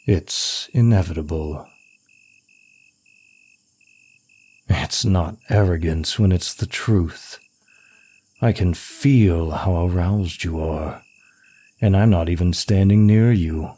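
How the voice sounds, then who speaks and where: A demon prince of lust played by a man speaks in a low voice, taking his time over each phrase. He is suave, smug and taunting, an arrogant seducer in an intimate audio roleplay. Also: slow, mellow, breathy edge, full character voice. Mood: confident, seductive. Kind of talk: intimate ASMR roleplay monologue.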